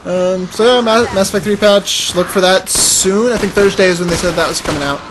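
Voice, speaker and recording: A man's voice: high-pitched, fairly quick, noisy background. A man co-hosting a gaming podcast, giving news in a loose, informal way and commenting on the gameplay as it goes. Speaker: podcast co-host. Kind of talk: casual gaming news chat. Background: game music.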